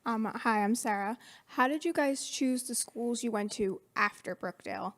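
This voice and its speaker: A woman's voice: fairly high pitch, normal speed, fairly bright tone. Young woman asking a question.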